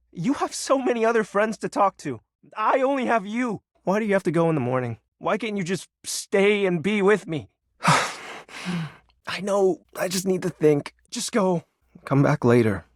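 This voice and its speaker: An actor voicing a lonely, brooding personification of darkness for a video game, theatrical and grandiose yet awkwardly sincere. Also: male voice, on the high side, no echo, clean studio sound, full character voice. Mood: hurt, desperate, then insecure, tender. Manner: theatrical grandiose villain speech slipping into awkward, wistful sincerity. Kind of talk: dramatic video game character acting.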